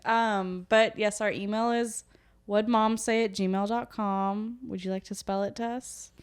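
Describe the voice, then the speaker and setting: A young woman co-hosts a casual podcast chat with a smooth, clear voice. She talks in a chatty, self-mocking way. Her pitch is ordinary for a woman. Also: steady tone, measured pace. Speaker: podcast co-host.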